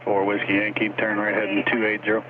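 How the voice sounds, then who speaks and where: A man's voice: on the low side, monotone, fast talker, narrow, tinny audio. A man working as an air traffic controller, speaking in clipped procedural instructions over a noisy aviation radio.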